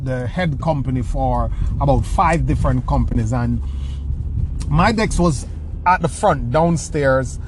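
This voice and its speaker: A man's voice: mid-range voice, measured pace, background noise. A man with a Jamaican accent from the rural countryside, giving a motivational talk and telling stories, with frequent restarts and repeated words. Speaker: motivational speaker. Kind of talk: motivational talk answering a question.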